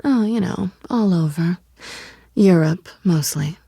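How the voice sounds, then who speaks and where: A husky lowish cool cat burglar lady for a game, sly n teasing, super dry close sound. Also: slow pace, warm tone, dry recording, heavily acted. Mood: guarded, matter-of-fact. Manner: sardonic, teasing, understated. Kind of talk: naturalistic video game dialogue acting.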